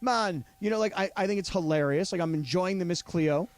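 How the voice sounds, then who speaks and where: A man co-hosting a sports talk radio show, animated and prone to interrupting and repeating himself in studio banter. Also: sits high, fast, no room sound. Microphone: studio mic.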